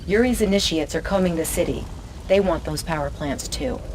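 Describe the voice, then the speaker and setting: An actress playing a composed military intelligence officer who gives crisp, earnest mission briefings in a video game. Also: female voice, on the low side, brisk, noisy background, close to an everyday voice. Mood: focused.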